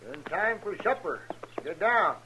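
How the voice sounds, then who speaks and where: An actor playing a hospitable old buffalo hunter with an American Western accent and a genial, folksy manner. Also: male voice, sits high, very animated, moderate pace. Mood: welcoming. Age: older. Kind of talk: 1950s radio western acting. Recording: muffled, hissy old radio recording.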